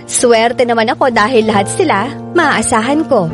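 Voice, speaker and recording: An actress plays a young Filipina telling her own radio drama story. Her voice is slightly high, reflective and resolute, with music clearly playing underneath. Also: normal speed, close to an everyday voice. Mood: reflective, determined. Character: reflective, newly resolute young woman narrating her career. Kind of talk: first-person radio drama narration.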